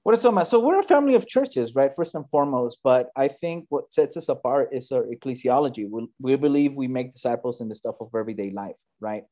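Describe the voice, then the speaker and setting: A man in his thirties talks through his story as an interview guest. He speaks earnestly and conversationally, often pausing with fillers and restarting phrases mid-thought. His pitch sits in an ordinary middle range. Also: expressive, mellow. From United States, Bay Area. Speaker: church planter, guest.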